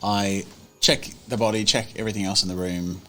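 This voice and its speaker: A man's voice: lowish voice, fairly even intonation, brisk, sharp tone, slight background noise. A man chatting casually as a player at a tabletop roleplaying game.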